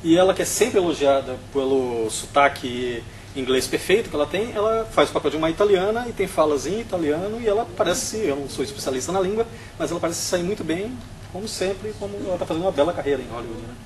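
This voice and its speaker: A Brazilian man on a film review panel, explaining his views in a steady, analytical way. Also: on the high side, fast talker, slight background noise, rough recording.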